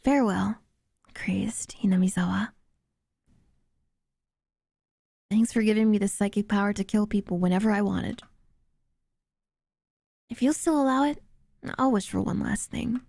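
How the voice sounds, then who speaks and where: A woman voicing a traumatized, bitter teenage boy narrating a horror visual novel in a breathy, halting, haunted way. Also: mid-range voice, warm tone, dry recording. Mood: bitter, resigned. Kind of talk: dramatic visual novel readalong.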